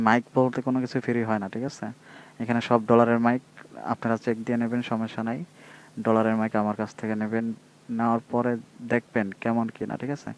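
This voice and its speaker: Man, Bangladeshi accent, rapid speech, narrow intonation, informal seller's pitch, repetitive tag questions. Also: darkish tone. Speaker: online seller presenting a product demo. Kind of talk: casual sales pitch and tutorial.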